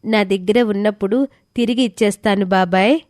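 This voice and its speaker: A woman's voice: medium pitch, fairly even intonation, fairly quick, darkish tone, no echo, lightly acted. Woman acting village girl, storybook drama, polite, earnest, modest, close dry sound. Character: Anjali, dutiful, reserved village girl. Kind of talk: scripted Telugu moral story acting.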